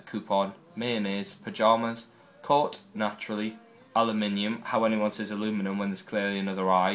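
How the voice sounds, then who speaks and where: Brief: young Geordie man, low-pitched voice, casual wry vlogger, faint music underneath. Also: a bit bright. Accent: Newcastle Geordie. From North East England. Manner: chatty, wry, rambling asides. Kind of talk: casual first video blog.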